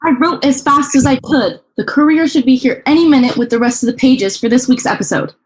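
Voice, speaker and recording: A snippy, defensive scriptwriter with a high voice, played by a woman. A faint hiss sits under her video call audio. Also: fairly quick, lightly acted.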